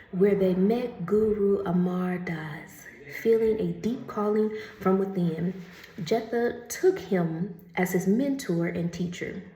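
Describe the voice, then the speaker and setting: A woman reading oracle cards in a relaxed, chatty way, laughing often and calling the listener honey. She takes her time and reads the card text slowly and carefully. Her voice sits in a middle pitch and rings in an echoey room. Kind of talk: casual spiritual card reading.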